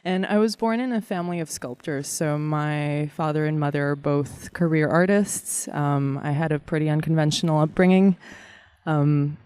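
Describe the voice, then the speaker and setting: A woman in her thirties from Kazakhstan, telling animated stories about her childhood as a guest in an interview. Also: deep voice, normal speed, smooth, no room sound. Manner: warm, animated storyteller with frequent laughs and fillers. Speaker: guest artist. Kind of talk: casual interview storytelling.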